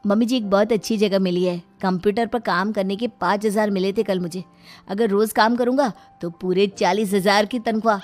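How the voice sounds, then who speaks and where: A woman's voice: mid-range voice, steady tone, normal speed, darkish tone, faint music behind, natural voice. Woman voicing a whiny freeloading son-in-law. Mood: eager. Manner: cheeky, whiny. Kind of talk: cartoon story voice acting.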